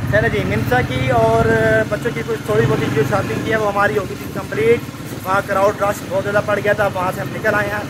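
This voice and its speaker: A young man from Pakistan talks in a fairly high, casual voice as he vlogs through a crowded outdoor bazaar. The camera is held at arm's length, and loud street noise and traffic sit under everything he says. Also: flat delivery, quick pace. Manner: chatty, bargains insistently with shopkeepers. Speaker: vlogger. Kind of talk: casual vlog narration and haggling. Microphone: handheld camera at arm's length.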